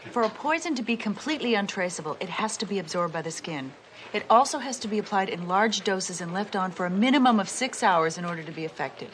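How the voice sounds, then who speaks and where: A by-the-book detective, played by a woman, earnest and fast-talking, with faint background noise in this TV comedy. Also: mid-range voice, expressive, a bit bright, natural voice. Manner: brisk, earnest explaining.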